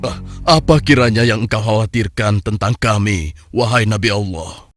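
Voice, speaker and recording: A man's voice: fairly low pitch, moderate pace, music in the background, close to an everyday voice. A man playing an eager, proud, wealthy nobleman in a religious cartoon. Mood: respectful. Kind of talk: cartoon religious story dialogue.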